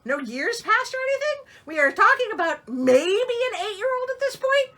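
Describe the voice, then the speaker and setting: A woman's voice: very high-pitched, very animated, normal speed, pushed and bright. A woman reading a fairy tale aloud expressively, with theatrical, incredulous and wry asides. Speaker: storyteller and host.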